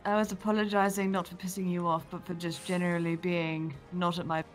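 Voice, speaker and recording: A woman's voice: lowish voice, little pitch movement, a little fast, soft background music. A woman chatting casually with the group as a player on a tabletop game stream.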